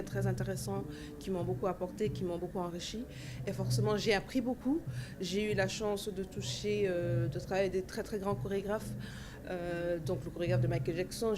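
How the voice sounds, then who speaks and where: Woman, 40s, from Cameroon; halting, reflective interviewee, mid pitch, noisy outdoor ambience. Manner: thoughtful, rambling with many fillers and restarts. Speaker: dancer and festival organizer. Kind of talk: interview answers. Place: outdoor festival grounds. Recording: festival ambience noise behind.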